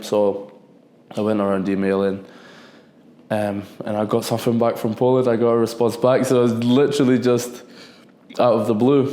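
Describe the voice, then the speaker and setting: A man in his twenties talks through his story in a loose, rambling way, circling back and repeating phrases. His voice sits low and mellow with little rise and fall. The room around him rings with noticeable echo. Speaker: football defender, guest. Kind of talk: casual podcast interview. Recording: echoey room.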